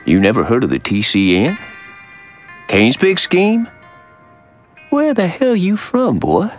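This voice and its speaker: A man playing a gruff, suspicious shopkeeper in a sci-fi drama, talking in a blunt, folksy way. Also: on the high side, huge pitch swings, slow, exaggerated voice. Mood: bitter, hostile. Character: gruff, bitter male shopkeeper, suspicious of outsiders. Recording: music under the dialogue.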